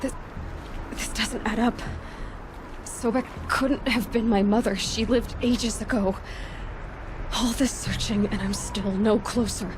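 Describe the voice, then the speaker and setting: An actress playing a determined young huntress heroine in a video game, speaking directly and guardedly over music. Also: female voice, fairly high pitch, fairly even intonation, measured pace, background noise, natural voice. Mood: puzzled, frustrated. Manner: direct, stern, probing. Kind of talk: video game cutscene acting. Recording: music behind the voice.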